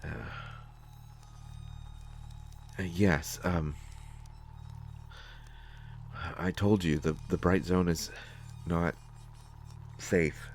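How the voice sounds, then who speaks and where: Halting, low-voiced, nervous bookish survivor acted by a man. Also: fairly even intonation, darkish tone, soft background music. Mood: uneasy, hesitant. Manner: hesitant, stammering, halting. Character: nervous, bookish male survivor of a sci-fi wasteland. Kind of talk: scripted sci-fi audio roleplay, solo acting.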